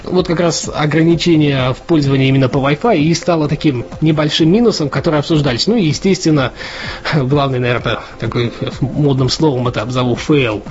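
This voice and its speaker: A man's voice: on the high side, fairly quick, a little mellow. A man co-hosting a casual news podcast, talking in a chatty way with lots of fillers and restarts. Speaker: podcast co-host. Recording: dull lo-fi podcast audio with faint music.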